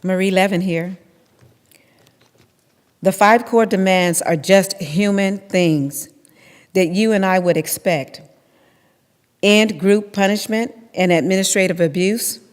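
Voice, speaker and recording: A woman's voice: medium pitch, slow, pauses often, close to an everyday voice. A woman playing an activist who plainly lists demands, reading from a script in readers theater. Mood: firm. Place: large temple hall, at a lectern. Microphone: microphone on a stand at lectern. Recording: reverberant hall recording.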